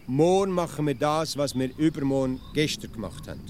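Male actor as wily rustic Swiss philosopher, Swiss German accent, lively intonation, insistent and pedantic, radio comedy, faint noise. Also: a little high, fairly quick, close to an everyday voice. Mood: insistent explaining. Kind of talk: comic radio dialogue.

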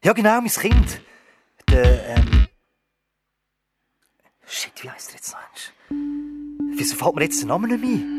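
A man's voice: high-pitched, huge pitch swings, over loud music, full character voice. An actor with a Swiss German accent playing a panicked, confused father trapped in a nightmare in an audio drama. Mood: confused, groggy. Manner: halting, swearing.

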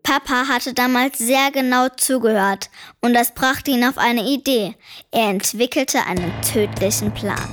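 A woman's voice: fairly high pitch, a little slow, fairly bright tone, soft background music. A woman playing a clever, defiant ten-year-old girl in a children's radio play, dry and wordy as she tells the story. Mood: knowing, determined. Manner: dry, wry, precociously wordy. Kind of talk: German children's radio play narration and dialogue.

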